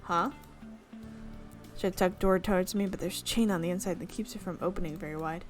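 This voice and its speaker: A woman's voice: fairly low pitch, steady tone, a little fast, faint music behind. A woman hosting a gaming video, reading a visual novel's narration aloud and often stopping to correct her slips. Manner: reads narration with frequent slips and self-corrections.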